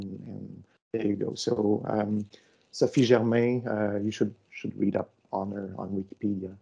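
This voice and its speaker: Gravelly man presenting online. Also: lowish voice, mellow. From Canada. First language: French. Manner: halting, many fillers and restarts. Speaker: conference presenter. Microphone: video call microphone. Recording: dull lo-fi call audio.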